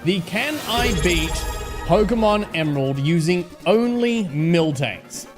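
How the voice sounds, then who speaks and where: A man with an Australian accent and a slightly hoarse voice, chatting casually to viewers on a live game stream and greeting them by name. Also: sits high, lively intonation, slow, over music. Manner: chatty, greets viewers by name, fretting over technical trouble.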